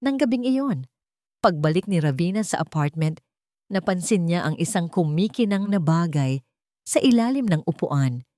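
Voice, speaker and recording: A woman narrates a dramatic story in a fairly low voice. Her pitch moves widely from phrase to phrase, and the recording is close and dry. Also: expressive, normal speed, warm tone, no room sound.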